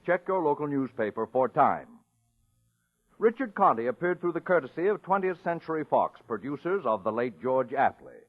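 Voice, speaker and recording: A man's voice: mid-range voice, sing-song, normal speed. A man reading closing announcements in a formal, declamatory 1940s network radio style. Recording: tinny old radio, faint music.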